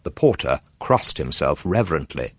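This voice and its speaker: Low-voiced male narrator, dramatic and suspenseful. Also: very animated, moderate pace, dry recording. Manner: theatrical, suspenseful. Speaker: narrator reading a horror story. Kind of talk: dramatic scripted narration of a classic tale.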